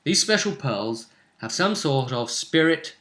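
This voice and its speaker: A man talks casually as a tabletop game master going over play. His voice is bright and his pitch moves about a lot. He is playful and readily slips into acting out bits of the game. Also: measured pace. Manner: playful, animated, acts out game moments.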